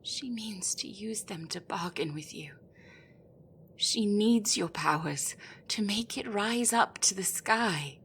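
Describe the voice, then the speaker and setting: An anxious female gryphon, acted by a woman, speaks slowly in a medium-pitched voice. She is deferential and hesitant, forever pleading her case in a fantasy audio drama, over faint noise. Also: fairly bright tone. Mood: fearful pleading. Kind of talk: audio roleplay acting.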